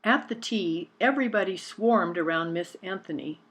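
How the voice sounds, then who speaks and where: A woman's voice: on the low side, moderate pace, a bit bright. A woman narrating a children's picture book in a scripted read-aloud style.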